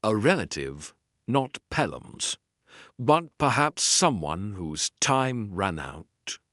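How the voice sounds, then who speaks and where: A man voicing a sharp, cool-headed Victorian detective who speaks in measured deductions. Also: lowish voice, very animated, no echo, studio quality, full character voice.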